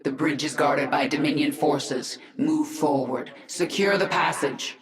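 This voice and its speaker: A woman playing a cold, resolute, vengeful alien swarm queen in a video game, speaking with measured authority. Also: deep voice. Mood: commanding. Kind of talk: video game cutscene acting. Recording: echoing studio voice over game music.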